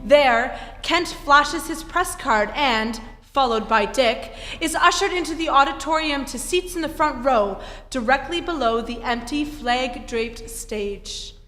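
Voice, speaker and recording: A woman's voice: sits high, a little slow, sharp tone, soft background music. A woman narrating an old-time radio serial from a script on a theatre stage. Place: theatre stage in a live performance. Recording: echoey hall.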